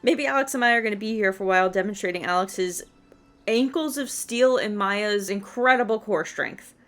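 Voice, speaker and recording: A woman giving casual, expressive gameplay commentary, chattily reacting aloud to the game as she plays. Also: medium pitch, normal speed, faint music behind. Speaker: video game streamer.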